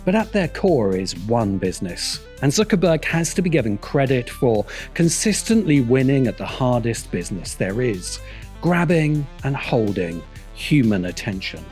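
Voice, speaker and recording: A man's voice: mid-range voice, very animated, moderate pace, darkish tone. Wry male video essay narrator over music. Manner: wry, critical commentary. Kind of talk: scripted narration.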